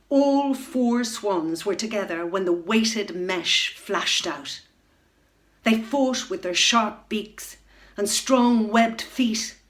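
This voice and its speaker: A middle-aged woman reading a children's bedtime story aloud at home, expressive, into a webcam. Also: medium pitch. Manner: expressive, rising to loud excitement in dramatic moments. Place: room at home.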